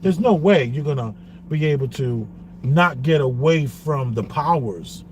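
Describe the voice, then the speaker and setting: A man co-hosting a casual podcast chat, talking in an animated way and acting out what other people said. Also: fairly high pitch, unhurried. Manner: animated, acts out quotes in other voices. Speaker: podcast co-host. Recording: noisy background.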